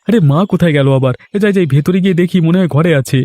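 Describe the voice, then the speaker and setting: A man's voice: high voice, racing, mellow, no echo, close to an everyday voice. A man voicing an easygoing, dutiful young villager in a cartoon story. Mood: happy, eager. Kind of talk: Bengali moral-story cartoon voice acting.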